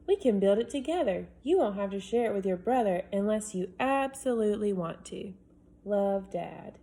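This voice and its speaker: A woman voicing a patient father who answers his son's letters with calm, gently teasing replies. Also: medium pitch, moderate pace. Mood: dry. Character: patient, joking father writing back to his son.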